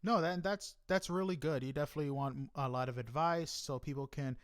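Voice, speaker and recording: A man's voice: a little high, a little fast, no room sound, clean studio sound. A man interviewing a guest in a casual chat, feeling his way through his questions appreciatively. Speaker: podcast interviewer.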